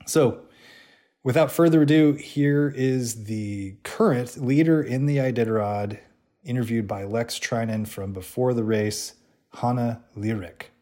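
A man hosting a podcast, introducing an interview in a relaxed, explanatory way. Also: mid-range voice, a little slow. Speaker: podcast host.

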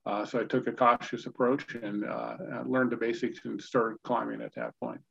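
An American man in his sixties from Indiana, telling personal stories as an interview guest in a halting, conversational way over a video call. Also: medium pitch, level tone, gravelly, a bit bright, breathy edge. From Indiana, United States. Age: 60s. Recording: dull, lo-fi video call audio.

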